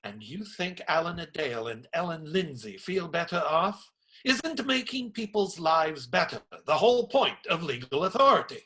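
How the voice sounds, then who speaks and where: An actor playing an earnest, righteous priest who admonishes firmly in a radio play. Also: male voice, a little high, sing-song, normal speed, pushed and bright. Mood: righteous indignation.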